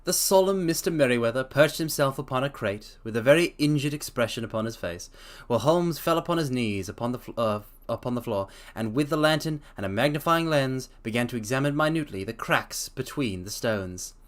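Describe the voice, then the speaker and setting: A man's voice: mid-range voice, lively intonation, brisk, hardly any pauses. A man streaming a dramatic reading, often stopping to correct himself and adding chatty asides.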